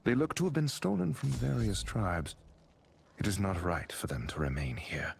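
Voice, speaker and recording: A man's voice: deep voice, expressive, moderate pace, vocal fry, slight background noise. A male actor playing a grim, resolute wandering warrior in a fantasy game, with a terse, brooding delivery. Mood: brooding, observant. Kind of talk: scripted fantasy game voice acting.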